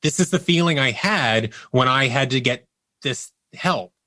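A man's voice: mid-range voice, expressive, a little slow, pushed and bright, no room sound. A man speaking as an interview guest, telling personal stories candidly and reflectively, often voicing his inner thoughts as quotes. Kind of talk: candid personal storytelling in conversation.

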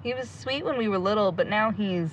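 A woman's voice: mid-range voice, fairly quick, slight background noise, natural voice, poor audio. A woman playing a blunt, bossy, outspoken young woman in an audio drama. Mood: confiding. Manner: outspoken, sweary.

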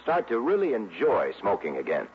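A man's voice: fairly low pitch, wildly swooping pitch, normal speed. Swooping American male radio announcer. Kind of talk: 1960s radio ad and programme announcing. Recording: muffled old radio recording, loud brass music.

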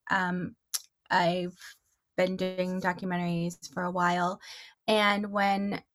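Slow-talking hesitant woman guest. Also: medium pitch, no room sound. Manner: hesitant, many fillers and restarts. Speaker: podcast guest. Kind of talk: interview answer.

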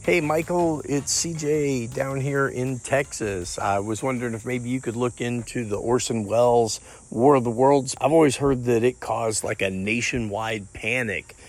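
Gravelly-voiced male caller. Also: mid-range voice, normal speed, hardly any pauses. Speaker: listener calling in with a question. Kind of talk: casual, hesitant voicemail message.

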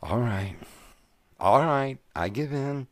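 A man's voice: mid-range voice, lively intonation, slow, no echo. An actor playing a curious young man in love, asking probing, impatient questions. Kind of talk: audio drama acting.

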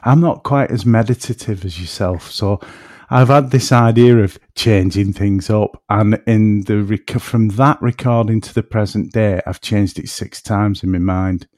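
A man's voice: fairly low pitch, fairly even intonation, unhurried, hardly any pauses, darkish tone, dry recording, clean studio sound. A man from North West England with a northern English accent, from between Manchester and Liverpool, chatting casually as a podcast guest and rambling off topic. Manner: rambling, self-deprecating, drifts off topic. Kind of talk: casual chat.

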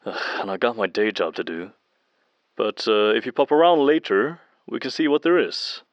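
A man's voice: lowish voice, big pitch swings, normal speed, no echo. A man acting as a friendly, eager audio technician and fan in an audio drama, chatty and a little hesitant, heard over a phone. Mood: excited, rambling. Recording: muffled band-limited phone call, dry.

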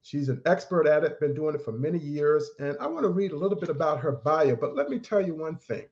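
Upbeat, formal male podcast host, fairly high voice, muffled lo-fi recording. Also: expressive, fairly quick, darkish tone. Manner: upbeat, formal, full of praise. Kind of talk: podcast interview hosting. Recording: dull, lo-fi sound.

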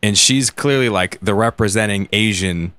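Low-voiced man chatting casually, haltingly. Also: a bit bright, dry recording. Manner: halting, repeats words while thinking aloud. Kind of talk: casual panel chat.

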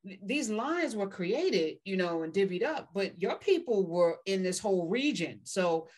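A woman co-hosting a casual talk show, speaking briskly and emphatically with blunt asides. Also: medium pitch, very animated, darkish tone. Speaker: podcast co-host. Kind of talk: casual conversational discussion.

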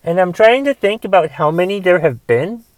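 A man with a somewhat high voice, rasped by a cough, muses aloud about a film and swears freely. The sound is close and dry, with no room around it. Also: lively intonation, moderate pace, no room sound. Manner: rambling, profane, wondering aloud. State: raspy voice from a cough. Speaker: movie review host. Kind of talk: casual solo movie review.

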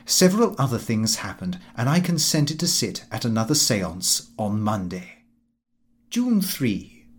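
A man as a pompous, animated Victorian diarist. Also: lowish voice, a little slow. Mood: earnest, grudging. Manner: prim, self-important, precise. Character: pompous, fussy middle-aged Victorian clerk diarist, easily affronted. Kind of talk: scripted audiobook narration of a comic diary.

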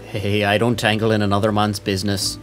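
A man's voice: fairly low pitch, monotone, brisk, fairly bright tone, soft background music, lightly acted. A man playing a brusque, wisecracking veteran police sergeant in a noir audio drama, slangy and no-nonsense. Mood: wry, friendly.

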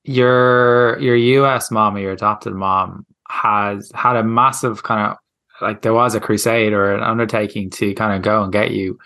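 A man's voice: on the low side, fairly even intonation, moderate pace, bright, forceful tone. A man hosting a podcast, asking casual interview questions, hesitant, with many fillers and restarts. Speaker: podcast host.

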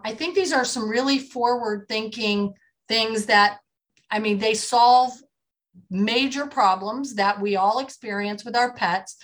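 A woman hosts an interview podcast in a middle-pitched voice. She opens with a read sponsor intro and then moves into easy conversation with her guest. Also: fairly bright tone. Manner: upbeat, scripted intro then chatty with fillers. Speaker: host.

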